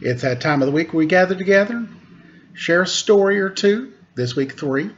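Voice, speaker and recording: A man hosting a storytime, reading a children's tale aloud with measured, pausing phrasing. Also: high-pitched, expressive, a little hiss or hum. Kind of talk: read-aloud storytelling with casual introduction. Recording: dull lo-fi recording.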